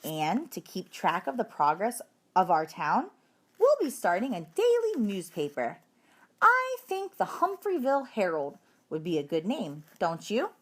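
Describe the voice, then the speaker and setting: An encouraging, orderly schoolteacher from a children's storybook, read by a woman instructs her class. Her voice has a middle pitch and moves with lively, varied intonation. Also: measured pace, full character voice. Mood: pleased. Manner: clear, instructive classroom address. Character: encouraging, orderly female primary school teacher.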